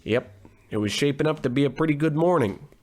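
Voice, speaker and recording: A man playing a cocky, dim-witted hard-boiled private detective in a noir radio comedy, deadpan and self-assured. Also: a little high, brisk, a little hiss or hum, natural voice. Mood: deadpan, brooding.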